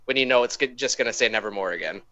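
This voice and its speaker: Bright-voiced man chatting, slightly lo-fi. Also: mid-range voice, very fast. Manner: slangy, irreverent, sweary. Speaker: podcast co-host. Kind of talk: casual chat.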